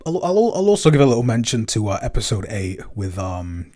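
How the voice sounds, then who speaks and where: Low-voiced man, chatty podcast co-host. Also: very animated, a little fast, dark tone. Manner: chatty, frequent "um" and "you know" fillers. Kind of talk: casual chat.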